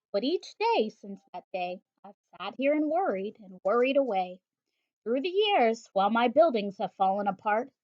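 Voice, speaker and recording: A woman's voice: a little high, no echo. Woman reading a rhyming storybook aloud. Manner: animated, rhythmic rhyming delivery. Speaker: mother reading a picture book aloud. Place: at home. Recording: dull, dry home recording.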